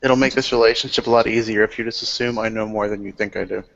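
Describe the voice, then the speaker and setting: A man answers in character at a tabletop roleplaying session, laid-back and dry. He talks quickly in a low voice. He sounds distant from the mic, and the recording is muffled and lo-fi. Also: steady tone, creaky, a bit bright.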